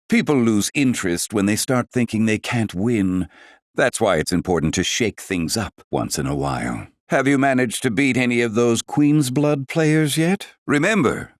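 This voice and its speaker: A suave, calculating casino boss from a video game, speaks in a deep voice with very varied intonation. He is formally polite and insinuating, always angling for a deal, and the recording is dry and close. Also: no room sound, exaggerated voice. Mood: sly, conversational. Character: smooth-talking, calculating casino owner and card dealer. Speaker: game character voice actor. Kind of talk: scripted video game dialogue.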